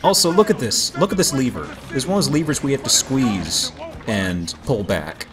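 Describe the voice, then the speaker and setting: Casting: male let's play commentator, lively pitch, jokey and explanatory, game music behind. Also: normal speed. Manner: joking, explanatory.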